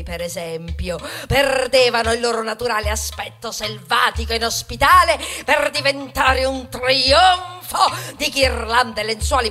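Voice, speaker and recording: An actress playing a vain, grandiose plastic bag, tragic and nostalgic, in a declamatory theatrical monologue. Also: female voice, fairly high pitch, pushed and bright, exaggerated voice. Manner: declamatory, swinging from whispers to cries.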